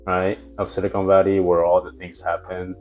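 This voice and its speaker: Interview guest: low-pitched male founder, Japanese first language, halting casual speech, soft music underneath. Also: completely flat delivery. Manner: hesitant, many fillers and restarts. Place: house in Palo Alto.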